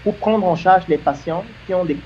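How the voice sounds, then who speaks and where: A man with a fairly high, mellow voice argues his point earnestly, stacking example on example. The sound is a bit muffled, with a faint hiss underneath. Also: moderate pace. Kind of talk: argumentative discussion.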